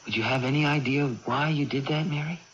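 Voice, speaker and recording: An actor playing a gentle, anxious, protective young farm brother in a vintage radio drama, earnest and cautious. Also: male voice, a little high, a little fast, close to an everyday voice. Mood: puzzled, gentle. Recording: muffled noisy old radio broadcast.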